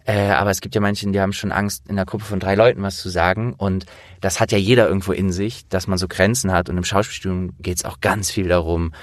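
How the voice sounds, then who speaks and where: A man's voice: low-pitched, flat delivery, quick pace, no room sound, clean studio sound. A man hosting an interview, explaining things informally and thinking aloud as he goes. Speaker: interviewer and host. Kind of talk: casual conversational explaining.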